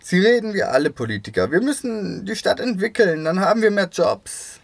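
A man's voice: sits high, big pitch swings, normal speed, creaky, dry recording. A man reading dialogue and interview voice-over for a radio feature. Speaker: radio presenter and voice-over reader.

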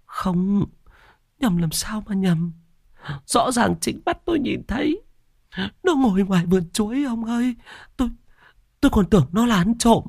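A man's voice: sits high, lively intonation, normal speed, gravelly, pauses often, a little mellow, dry recording, studio quality, pitched above the performer's own voice, full character voice. A man voicing a terrified older village woman who stammers and insists on what she saw. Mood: insistent frightened.

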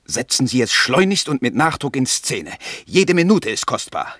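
An actor in a German radio play voicing a scheming, excitable political campaigner. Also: male voice, mid-range voice, moderate pace, dry recording, clean studio sound. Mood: urgent, scheming.

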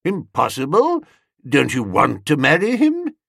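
Casting: male reader as pompous older magistrate uncle, stiff and spluttering, husky, wide-swinging delivery, comic storybook. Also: a little high, wildly swooping pitch, measured pace, a bit bright, no room sound, clean studio sound, voice pushed up, heavily acted. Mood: incredulous, hopeful. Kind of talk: comic audiobook character.

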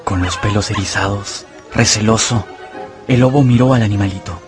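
An actor narrating an adventure novel as a storyteller in a dramatized radio audiobook. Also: male voice, fairly low pitch, normal speed, over music, close to an everyday voice. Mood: tender storytelling.

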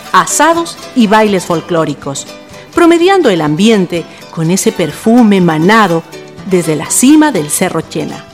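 A woman reading scripted narration for a radio drama. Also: mid-range voice.

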